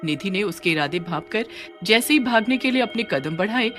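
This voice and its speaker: Female narrator, cartoon storytelling, lively varied pitch, expressive scripted delivery, faint music behind. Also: a little fast.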